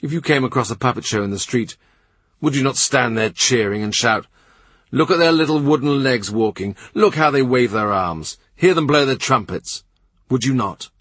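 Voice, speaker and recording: A man's voice: medium pitch, expressive, moderate pace, fairly bright tone, breathy edge, no echo. A man voicing a shrewd middle-aged royal minister who talks in a dry, cutting, sardonic way. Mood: cool, sardonic. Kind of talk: audiobook character reading.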